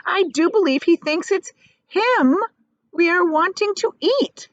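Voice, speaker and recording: A woman voicing a kindly, sympathetic giant ladybug from a children's storybook, with a gently reassuring, exclaiming manner. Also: extremely high pitch, animated, unhurried, dry recording, dull audio, pitched above the performer's own voice. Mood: pitying. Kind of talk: children's storybook read-aloud.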